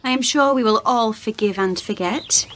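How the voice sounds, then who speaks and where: A woman's voice: on the high side, normal speed, slight background noise, close to an everyday voice. A woman playing a bold, sardonic 1920s working-class maid in a radio play, dry and defiant.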